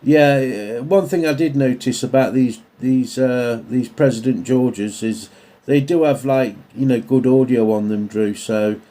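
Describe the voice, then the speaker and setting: A man talking casually and in a measured, hesitant way as a CB radio operator. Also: medium pitch, warm tone.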